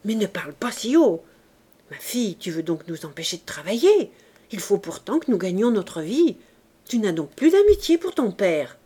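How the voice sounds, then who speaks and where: A scheming middle-aged thief pleads gently with his stubborn daughter, as a woman reader puts him on. His tone is soft and coaxing in a storybook reading. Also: mid-range voice, big pitch swings, normal speed, husky.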